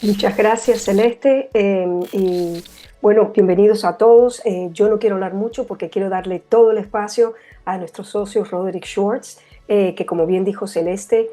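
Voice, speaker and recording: Mellow-voiced woman moderating a webinar. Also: medium pitch, fairly even intonation, normal speed. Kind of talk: semi-formal introduction.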